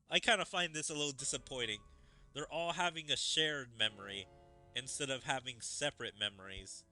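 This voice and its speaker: Brief: casual male gamer, bright tone, animated pitch, chatty and musing. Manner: musing, casual with many "like" fillers. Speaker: streamer. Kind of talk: gameplay commentary. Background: game music.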